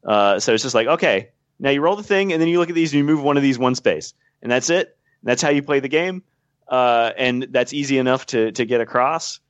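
A man's voice: medium pitch, fairly quick, clear, resonant tone, no room sound. A man explaining game design at length as a podcast guest, thoughtful and full of small fillers. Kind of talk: casual podcast chat. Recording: dull, dry podcast audio.

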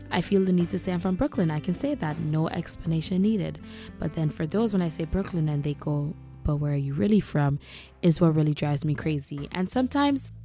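A woman's voice: sits low, mellow. A young woman from Grenada with a Grenadian accent, hosting a casual monologue and talking candidly, a little exasperated. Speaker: podcast host.

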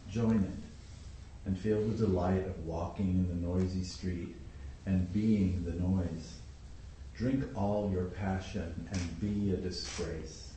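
Low mellow guy reading a poem real slow, far from the mic, big echo. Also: a little hiss or hum. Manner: slow, deliberate recital. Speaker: poem reader. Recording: very echoey, distant room mic.

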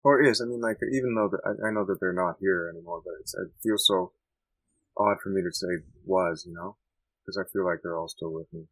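Low-voiced man in dry interview. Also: no echo, poor audio. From Canada. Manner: thoughtful, halting, many restarts. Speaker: musician guest. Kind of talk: interview answers.

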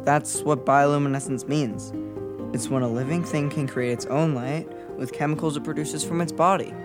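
A man's voice: a little high, steady tone, moderate pace, over music, lightly acted. Precise, bookish boy acted by a man. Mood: fascinated. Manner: precise, explanatory. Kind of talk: children's audio drama acting.

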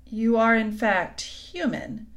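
A woman's voice: a little high, lively intonation, slow. A woman voicing a polite, flustered, slightly melancholy male faun in a storybook, speaking in a courtly, hesitant, formal way. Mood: flustered, curious.

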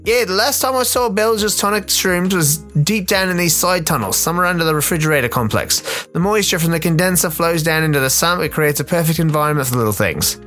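A man's voice: a little high, animated, brisk, few pauses, a bit bright, faint music behind, voice pushed up. A man playing a curt, weary cook who impatiently leads a dangerous expedition in a radio play. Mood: businesslike. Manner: bossy, impatient. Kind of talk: radio play character acting.

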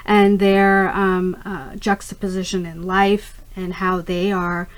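A woman's voice: medium pitch, level tone, slow pace. A middle-aged woman from Pakistan, raised in the United States, a native Urdu speaker, answering interview questions in an earnest, discursive, conversational way. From born in Karachi, Pakistan, raised in the United States from age five. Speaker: interview guest, startup founder.

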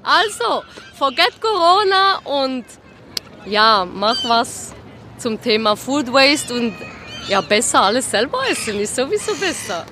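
High, bright, blunt Swiss woman. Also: very animated, a little slow. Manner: blunt, slangy, mixes in English words. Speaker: street interviewee. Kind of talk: casual spontaneous chat. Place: outdoors on an urban street. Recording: faint street and vehicle noise.

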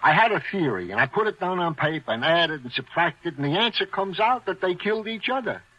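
An actor playing a bumbling, earnest, simple-minded police sergeant with a hesitant comic manner in 1940s radio style. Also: male voice, on the high side, very animated, brisk, pushed and bright, exaggerated voice. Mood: earnest, sheepish. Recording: muffled vintage 1940s radio recording.

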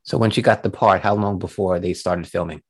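Casting: male interview show host, deep register with noticeable fry, presenting and questioning. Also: fairly even intonation, brisk.

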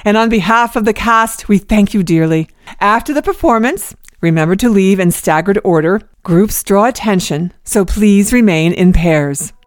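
An actress playing a proud, gracious theater hostess in a radio drama, formal and welcoming. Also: female voice, mid-range voice, lively intonation, moderate pace, no echo, clean studio sound, close to an everyday voice. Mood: gracious, cautioning. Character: proud, gracious mistress of ceremonies at secret theater.

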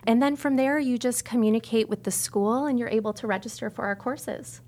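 A woman's voice: a little high, brisk, darkish tone. American woman, articulate arts educator. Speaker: arts teacher, podcast guest. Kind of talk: informational interview answers.

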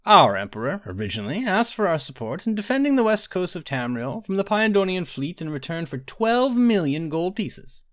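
A man's voice: high voice, big pitch swings, normal speed, few pauses, a bit bright, dry recording, clean studio sound, voice pushed up. A man voicing the proud, dignified leader of a mystic order in a fantasy storybook, speaking formally. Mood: negotiating.

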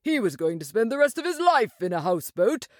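A woman's voice: on the high side, very animated, a little mellow, no room sound, studio quality, voice pushed up. Woman doing a chummy male water rat. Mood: gossiping. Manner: brisk, chummy, gossiping. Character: cheerful sociable male water rat, chatty. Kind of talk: storybook voice acting.

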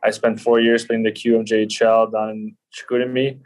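Low, even-toned young French Canadian man. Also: a little fast, poor audio. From Quebec City, Canada. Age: 20s. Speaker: podcast guest, professional hockey player. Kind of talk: casual interview talk.

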